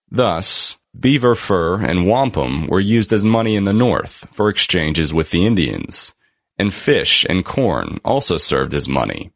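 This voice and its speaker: A man reading a scripted article aloud as a narrator, in a measured, deliberate and formal manner with emphatic phrasing. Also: low-pitched, creaky, no echo, clean studio sound.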